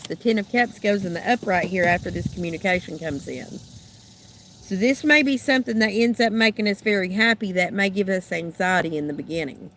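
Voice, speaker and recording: A woman lays out a tarot reading, thinking aloud in loose, trailing phrases. Her voice is a little rough and raspy from being sick. It sits at an ordinary middle pitch. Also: fairly bright tone, slight background noise. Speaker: tarot reader. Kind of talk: unscripted tarot card reading.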